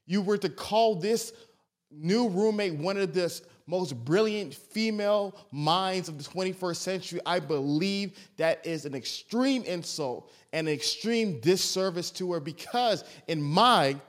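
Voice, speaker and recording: A young man hosts a podcast with a voice that sits slightly high. He talks with eager energy, gushing over his guest, and trips over his words in quick restarts. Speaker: podcast host. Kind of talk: enthusiastic podcast intro.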